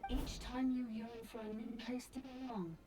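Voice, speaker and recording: A woman voicing a solemn ancient dragon priestess speaking through a robot in a video game, formal, grave and deliberate. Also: on the high side, steady tone, close to an everyday voice.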